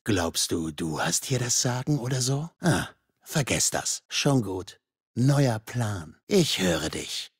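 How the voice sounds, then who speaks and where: An actor playing a menacing masked slasher killer in a video game, raspy and gravelly, threatening, mocking and darkly playful. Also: male voice, mid-range voice, dry recording, studio quality, full character voice. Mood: taunting, sinister. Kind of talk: video game combat voice lines.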